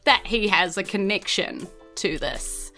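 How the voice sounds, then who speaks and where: A woman's voice: mid-range voice, animated, a little slow, bright, forceful tone, soft background music. A woman with a New Zealand accent hosting as game master, narrating a tabletop game informally.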